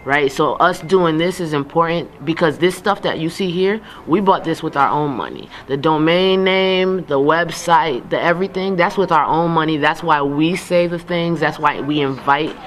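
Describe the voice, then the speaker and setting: A man with a fairly high voice answers interview questions as a radio broadcaster. He talks casually and emphatically, often dropping in asides. Some noise sits behind him. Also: normal speed, hardly any pauses, a bit bright. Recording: noticeable background noise.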